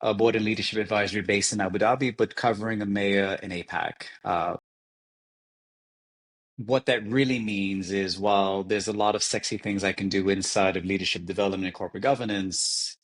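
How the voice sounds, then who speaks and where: Guy in his 40s from nyc, lowish voice, talks slow and thoughtful in an interview. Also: fairly even intonation. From New York City. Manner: measured, reflective, with restarts. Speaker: guest, leadership advisor. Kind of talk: interview conversation.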